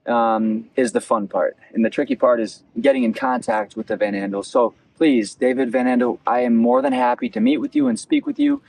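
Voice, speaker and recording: A young man in his twenties with an average-pitched, creaky voice interviews as a local candidate. He swings his pitch around in an earnest, halting way, restarting phrases as he goes. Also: big pitch swings, darkish tone, rough recording. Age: 20s. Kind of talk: campaign interview. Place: outdoors at a beach picnic table.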